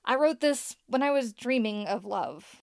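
Higher-pitched, self-doubting young woman. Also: expressive, a little slow, gravelly, dry recording, clean studio sound. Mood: wistful, vulnerable. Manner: conversational, hesitant, sighing. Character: Helena, young woman podcaster and scriptwriter, self-doubting, earnest. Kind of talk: naturalistic audio drama acting.

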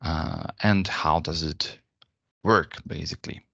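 A deep-voiced man gives an unscripted software demonstration. He explains each step in a halting manner. The sound is dry and a little lo-fi, like online webinar audio. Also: creaky, no room sound. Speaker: software presenter.

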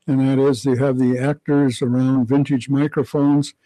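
A man's voice: medium pitch, little pitch movement, normal speed, mellow, no echo, low-quality recording. An older man, a radio historian, explaining things as a studio guest. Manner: explanatory, lecturing. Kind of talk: interview guest. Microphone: studio microphone.

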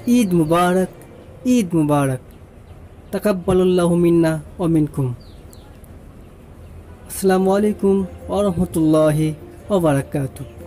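A male channel presenter addresses viewers in a scripted manner. His voice is high for a man and mellow, with faint music underneath. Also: a little slow, pauses often.

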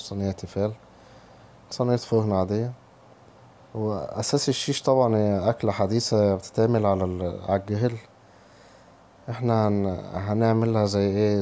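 A man with an Egyptian Arabic accent, hoarse from a cold, casually talking viewers through a recipe step by step in his home kitchen. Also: lowish voice, warm tone, a little hiss or hum. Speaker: home cooking channel host. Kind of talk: cooking tutorial voice-over.

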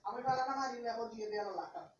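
Muffled, high-pitched Brazilian mother. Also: very fast. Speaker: mother talking to her child. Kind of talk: casual home talk to a small child. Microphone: far from the microphone. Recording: muffled, distant background pickup.

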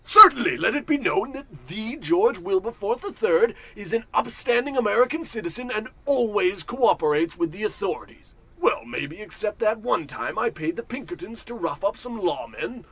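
A man's voice: high voice, expressive, normal speed, few pauses, fairly bright tone, slightly rough, exaggerated voice. A man acting a pompous, indignant and forgetful wealthy businessman in a blustering, theatrical old-time radio comedy style.

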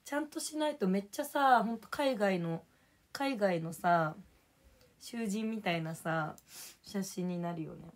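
A young woman with a hoarse voice, chatting casually on a livestream and thanking viewers for gifts. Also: medium pitch, unhurried. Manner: chatty, drifts between topics and reading out viewer names.

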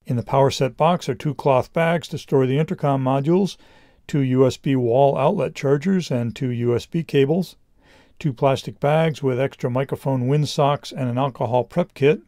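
A middle-aged man reading a scripted product review in a measured, explanatory way. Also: medium pitch, mellow, dry recording, clean studio sound.

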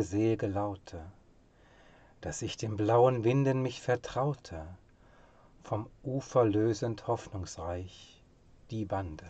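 Man, poetry recitation, low pitch, solemn, expressive, hushed phrase endings, dry close sound. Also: no room sound.

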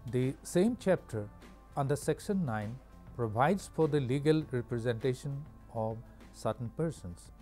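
A man in his sixties with an Indian accent and a very mellow, dark voice of average pitch. He reads a formal educational lecture in measured, broken-up phrases. He is recorded on a lapel mic in a studio. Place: studio with curtain backdrop.

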